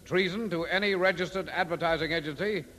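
An actor playing an officious, coldly menacing moon port official in a 1950s radio drama. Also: male voice, high voice, brisk, sharp tone. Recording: old radio recording, long hall reverb.

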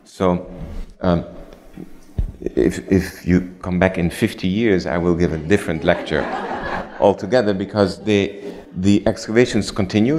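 A man at a lectern in a darkened lecture hall answers audience questions in a measured way, pausing often with ums and restarts. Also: low-pitched, a little mellow. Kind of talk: lecture Q&A, explanatory.

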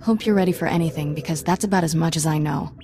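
Young woman apprentice, deeper voice, wry, game acting, noisy ambience. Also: fast talker. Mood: wry, determined. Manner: casual, wry. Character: plucky, wry young female Jedi Padawan. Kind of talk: video game cutscene acting.

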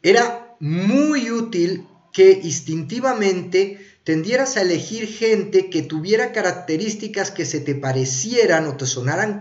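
Higher-voiced man, echoey room. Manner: conversational, animated, checks in with rhetorical tags. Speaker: streamer reviewing films and TV. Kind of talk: casual explanatory monologue. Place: small indoor room. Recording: roomy, echoey, slightly dull sound.

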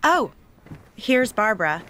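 A woman reading a scripted coursebook dialogue as a magazine colleague, clear and polite. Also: on the high side, big pitch swings, slow pace, fairly bright tone, slight background noise, full character voice. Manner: clear, polite and matter-of-fact.

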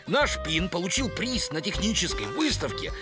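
A man's voice: high-pitched, huge pitch swings, moderate pace, loud music behind the voice. A man voicing a genial, grandiloquent old bird in a cartoon. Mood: genial, lofty. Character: genial, high-flown old bird, fond of beauty. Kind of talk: cartoon voice acting.

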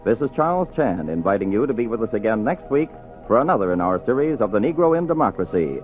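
A man's voice: lowish voice, big pitch swings, fast, faint music behind. A man announcing on 1940s radio, reading scripted material in a formal, declamatory style. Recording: tinny, band-limited 1940s radio broadcast.

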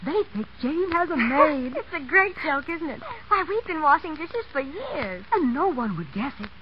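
A woman's voice: high-pitched, very animated, normal speed. A woman playing a gleeful, gossipy talking hand in a 1930s radio commercial. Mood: gleeful, smug. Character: gleeful, vain talking female hands. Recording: noisy, muffled 1930s radio broadcast.

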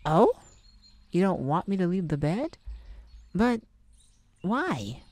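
A woman voicing a sweet, caring boyfriend in an intimate ASMR roleplay, tender, gently teasing and coaxing. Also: fairly low pitch, very animated, slow pace, no echo, full character voice. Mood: affectionate, teasing. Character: sweet, caring young boyfriend comforting his partner.

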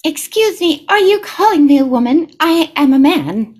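High-pitched, bewildered senator played by a woman. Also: animated, normal speed, a little mellow. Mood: affronted. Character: confused, pompous-named male senator, easily affronted. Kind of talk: improvised comedy sketch.

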